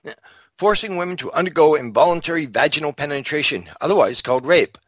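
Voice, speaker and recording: A man's voice: on the high side, lively intonation, quick pace, no echo. A man co-hosting a podcast in casual, opinionated chat, blunt and provocative, swearing freely. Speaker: podcast co-host.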